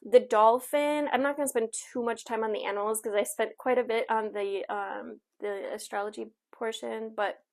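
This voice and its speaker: A woman's voice: a little high, fairly even intonation, moderate pace, gravelly, few pauses, a little mellow. Woman giving a casual oracle card reading. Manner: conversational, reassuring asides, frequently checks in with "okay". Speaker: tarot and astrology reader, show host.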